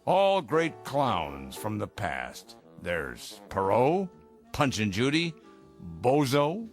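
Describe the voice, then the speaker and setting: A man's voice: mid-range voice, wildly swooping pitch, drawn-out words, soft background music, exaggerated voice. A man playing an eager older underground jokester in an audio drama, coaxing a recruit in a theatrical way. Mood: wistful.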